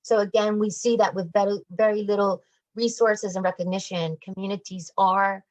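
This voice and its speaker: A woman's voice: medium pitch, steady tone, moderate pace, no room sound, poor audio. A woman explaining a community campaign as a guest in a radio discussion, speaking over an online call.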